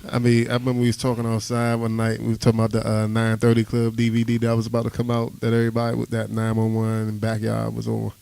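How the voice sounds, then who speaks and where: Gravelly-edged man in casual chat. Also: lowish voice, completely flat delivery, fast, few pauses, dark tone, no echo. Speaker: radio show crew interviewer.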